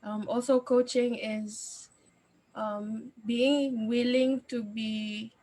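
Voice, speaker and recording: Woman host, high-ish voice, slow and halting, giggles through her questions. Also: fairly even intonation, warm tone, low-quality recording. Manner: halting, many fillers, giggly. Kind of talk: casual interview chat.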